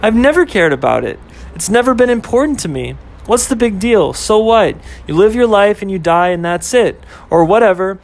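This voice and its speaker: A flippant young woman with a high, lifted voice, brushing everything off with quick rhetorical questions. A man puts her on in an amateur audio story, her tone scoffing and careless. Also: lively intonation, creaky.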